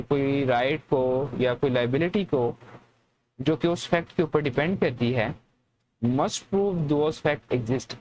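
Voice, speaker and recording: A man with a Pakistani accent speaks as a law lecturer in a slightly high voice. He explains step by step, restating each point for students. There is faint noise in the recording. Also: darkish tone, lo-fi sound. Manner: didactic, repeats and rephrases points. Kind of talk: explanatory lecture, reading clauses then explaining.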